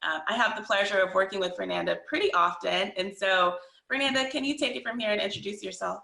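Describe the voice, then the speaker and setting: Woman, panel moderator, conversational hosting, bright tone, vocal fry, echoey room. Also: medium pitch, quick pace.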